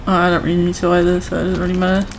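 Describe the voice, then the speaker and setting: A woman chatting casually while playing a video game, her voice a little hoarse from talking too much. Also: on the low side, completely flat delivery, very fast, a little hiss or hum, rough recording. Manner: chatty, self-reassuring, talks to viewers. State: voice going hoarse from overuse. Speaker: gaming streamer. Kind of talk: casual gameplay commentary.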